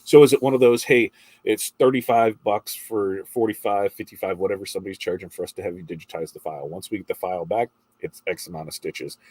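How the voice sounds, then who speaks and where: A congested middle-aged man with a low, deep voice chats casually through a morning stream, rambling between viewer shout-outs and questions. His tone is husky, and the sound is dry and close. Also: fairly even intonation, quick pace, no echo. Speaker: livestream host reading viewer comments. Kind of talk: casual morning livestream chat.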